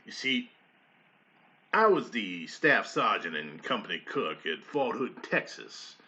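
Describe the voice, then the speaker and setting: A man playing a folksy army staff sergeant and mess cook, telling his story in a theatrical monologue with pauses and emphatic swells. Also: lowish voice, very animated, bright, forceful tone, full character voice. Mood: folksy, nostalgic. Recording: dull, lo-fi sound.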